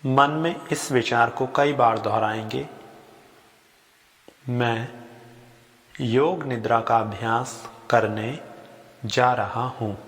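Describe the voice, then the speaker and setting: A man guiding a sleep meditation with gentle, unhurried instructions in short phrases with long pauses between them. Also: mid-range voice. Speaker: yoga nidra guide. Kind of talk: guided sleep meditation, slow spoken instructions. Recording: long reverb on the voice.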